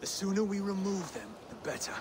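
A man's voice: high voice, animated, a little fast. An actor playing an earnest, stubborn young Saxon would-be king in a video game, determined in his manner. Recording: game audio with ambient noise.

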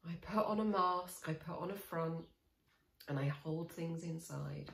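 A woman in her forties guiding a tapping session, speaking slow, soothing, repeated affirmations with sighs. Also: deep voice, creaky, a bit bright. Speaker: EFT tapping coach. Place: small room indoors. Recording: echoey small room.

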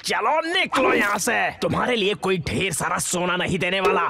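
A man voicing a gruff, bullying, burly hunter in a cartoon dub. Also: sits high, lively intonation, moderate pace, pushed and bright, heavily acted. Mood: scornful. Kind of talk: Hindi cartoon dubbing.

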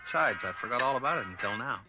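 A man's voice: medium pitch, lively intonation, rapid-fire, lo-fi sound, loud music behind the voice, natural voice. A man playing a firm, sceptical, middle-aged American father in an audio drama, with a folksy, dismissive manner. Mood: wistful, defensive. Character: firm, sceptical father, wistful about old sweetheart. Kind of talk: dramatic radio-play dialogue.

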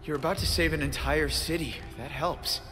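An actor with an American accent playing an earnest, wisecracking young superhero in a video game. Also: male voice, fairly high pitch, steady tone, moderate pace, lightly acted. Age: young adult. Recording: long reverb, music behind.

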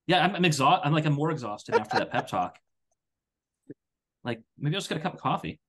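A man's voice: fairly high pitch, lively intonation, a little fast. A tired man in his thirties chatting casually on a podcast in a rambling, self-deprecating way. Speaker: podcast co-host. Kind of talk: casual chat. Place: home room, video call. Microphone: headset.

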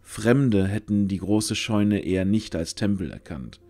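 A man narrating a scripted fantasy audio drama, using dramatic pauses. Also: on the low side, warm tone. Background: music.

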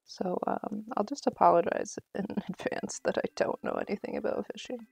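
Casual woman streamer with creaky, mellow voice. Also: medium pitch, normal speed, no echo, soft background music. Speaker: game streamer. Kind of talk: casual live gameplay commentary.

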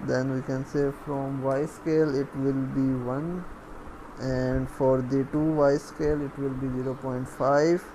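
Slow, flat-voiced man teaching coding. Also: medium pitch, background noise. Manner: halting, thinking aloud while typing. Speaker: programming tutorial instructor. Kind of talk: step-by-step screencast coding lesson. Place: voice-over recorded over screen capture.

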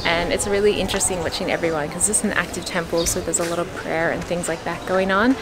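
Chatty woman vlogging over loud music. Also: on the low side, steady tone, fast talker. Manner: chatty, laughs easily. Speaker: travel vlogger. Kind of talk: casual travel vlog narration. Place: outdoors on town streets and at sights. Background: loud background music.

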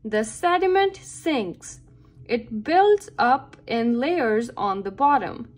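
A woman's voice: fairly high pitch, animated, takes it slowly. A woman reading a children's science book aloud with careful phrasing meant for young listeners. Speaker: read-aloud reader for children.

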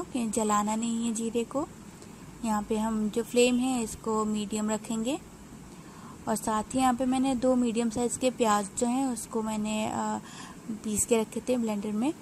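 Home-cook woman for a recipe voice-over: a higher voice, patient and explanatory, with light hesitations and a faint background hum. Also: darkish tone, poor audio. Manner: unhurried, explanatory, frequent hesitations. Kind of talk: step-by-step cooking voice-over.

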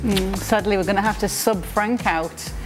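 A woman hosting a football panel show, briskly steering the discussion. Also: mid-range voice, fairly even intonation, over music. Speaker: host.

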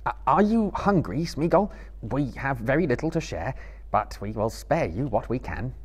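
A man's voice: mid-range voice, very animated, moderate pace, breathy edge, voice pushed up. A man voicing a kind, weary hobbit master who speaks politely and patiently, in a live story reading. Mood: kindly.

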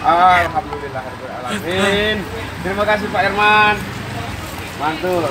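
A man's voice: sits high, a little slow. A man, narrating casually and upbeat as he shows off engine detailing work in an outdoor workshop yard. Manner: chatty, upbeat, showing off the work. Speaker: car detailing vlogger. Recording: loud engine and water-jet noise.

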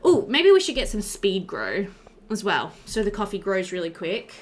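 A woman in her thirties giving casual, chatty let's-play commentary, animated and thinking aloud. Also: medium pitch, moderate pace. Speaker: gaming video host. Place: indoor room.

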